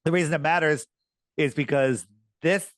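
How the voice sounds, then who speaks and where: A man speaking as a podcast guest, earnest and emphatic, his voice worn and going hoarse. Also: normal speed. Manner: earnest, emphatic, rising pitch on key points. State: losing his voice. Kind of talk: conversational interview talk.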